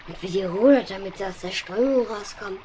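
A woman's voice: medium pitch, brisk, a crowd in the background, lightly acted. A woman voicing an earnest, caring young boy in an old radio play. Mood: eager. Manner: earnest, concerned. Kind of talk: 1970s radio play acting. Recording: noisy old vinyl record.

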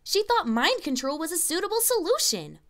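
A woman's voice: extremely high pitch, very animated, moderate pace, a bit bright, full character voice. A woman playing an earnest, worried young princess in a fan fiction audio drama. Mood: frustrated.

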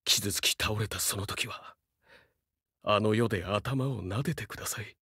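A man's voice: fairly low pitch, normal speed, bright, forceful tone, husky, dry recording, clean studio sound, exaggerated voice. A man voicing a young, fiercely loyal dog-man samurai in a Japanese game, speaking earnestly in formal, archaic warrior speech with dramatic delivery. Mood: solemn, wistful.

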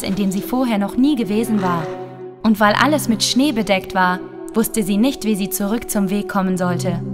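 A woman narrating a scripted children's fairy tale as a storyteller. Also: mid-range voice.

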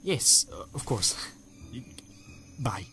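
A man's voice: medium pitch, big pitch swings, very slow, vocal fry, sharp tone, slight background noise, exaggerated voice. An actor playing an upbeat, eccentric male time-traveller who speaks lightly, in an amateur audio drama. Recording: reverberant hall with music.

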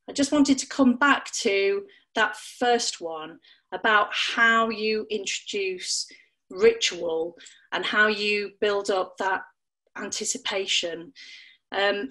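A middle-aged woman leading an online parenting webinar on storytelling from a small room at home, speaking through a laptop webcam with an expressive, playful delivery that leaps up in pitch for emphasis. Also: rough recording. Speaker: storytelling workshop leader. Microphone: laptop webcam, video call.

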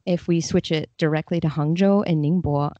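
A woman's voice: sits low, steady tone, moderate pace, warm tone, dry recording. A woman speaking as an interview guest, explaining things in a casual, conversational way. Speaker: podcast guest, literary translator. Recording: slightly dull, muffled audio.

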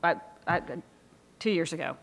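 Measured woman at a hall podium. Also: on the low side, creaky, fairly bright tone. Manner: careful, measured with fillers. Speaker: state official answering. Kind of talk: policy answer at podium. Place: large auditorium stage. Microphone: podium mic.

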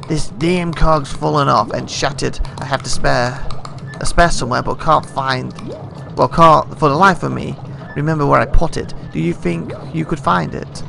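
A man reading out game dialogue as a grumbling, touchy undead skeleton tinkerer, halting now and then. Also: fairly high pitch, creaky. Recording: noisy home game recording.

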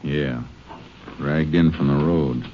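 An actor playing a terse, businesslike Texas Ranger investigator in a 1950s radio drama. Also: male voice, a bass voice, fairly even intonation, slow pace. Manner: terse, understated. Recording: dull old radio recording, faint hum.

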